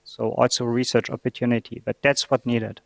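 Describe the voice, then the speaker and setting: A man's voice: medium pitch, little pitch movement, fairly quick, vocal fry, no room sound. A man speaking as an academic panelist, explaining his view hesitantly as he thinks aloud.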